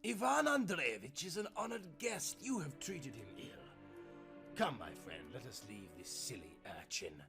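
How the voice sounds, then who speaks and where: Man playing a suave theatrical devil, animated pitch. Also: a little slow, gravelly, soft background music, full character voice. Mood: mock-courteous. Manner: formal, theatrical, politely menacing. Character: suave, mock-courteous devil, doting grandfatherly fiend.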